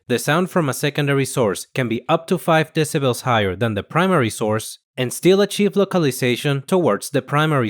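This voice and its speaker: Man narrating a scripted tutorial. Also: mid-range voice.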